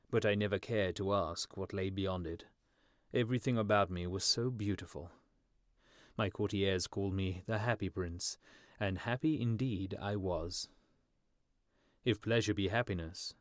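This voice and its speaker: A man voicing a gentle, sorrowful statue of a dead prince in a storybook, musical and mournful. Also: no echo, clean studio sound, close to an everyday voice. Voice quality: low, musical. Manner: slow, gentle, mournful, pleading.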